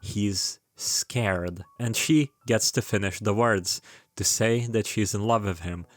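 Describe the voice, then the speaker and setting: A man's voice: lowish voice, takes it slowly, dry recording. A man narrating a scripted plot recap of a story, with casual, informal asides. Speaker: video creator narrating a game retrospective.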